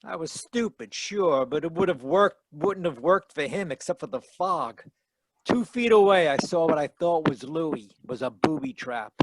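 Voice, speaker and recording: A man playing a wry, deadpan hardboiled detective who narrates his case in 1940s radio style. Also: fairly high pitch, normal speed, slight background noise, natural voice, low-quality recording. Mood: tense narration. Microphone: close home mic on a video call.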